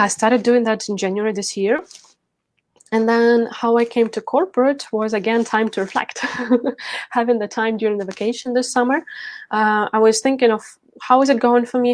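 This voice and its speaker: A woman's voice: fairly high pitch, no room sound. A woman from Ukraine, speaking conversationally as an interview guest and coach, telling her own story.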